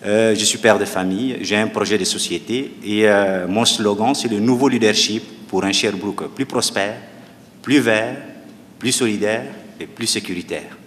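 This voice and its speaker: Low-voiced man from Chad, hall echo. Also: normal speed. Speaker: municipal candidate. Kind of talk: debate opening statement. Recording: reverberant hall with faint noise.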